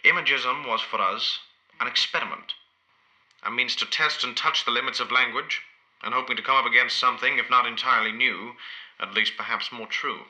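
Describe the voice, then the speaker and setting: An actor playing a proud, ageing imprisoned poet in an audio play, speaking in a measured, literary, rambling way. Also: male voice, mid-range voice, steady tone, sharp tone, low-quality recording. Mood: reflective, guarded. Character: ageing imprisoned modernist poet, proud, reminiscing, wounded dignity.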